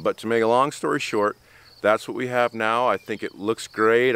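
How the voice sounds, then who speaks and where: A man in his fifties talks straight to a close camera on an open site, plainspoken and practical, stopping for ums and restarts. His voice sits very low and comes through smooth and clear. Crickets chirp faintly in the open air. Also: a bit bright. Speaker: builder vlogger. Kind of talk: casual explanation to camera. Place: outdoors on an open building site. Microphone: camera close up at face height.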